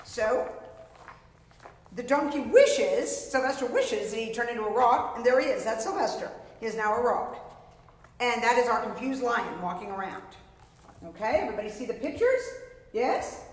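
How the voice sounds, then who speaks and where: Woman children's storyteller, middle-aged: highish, very animated, theatrical, reverberant room. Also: normal speed. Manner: theatrical, explanatory, addressing young children. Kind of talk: read-aloud of a picture book to children. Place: indoors before a painted storybook backdrop.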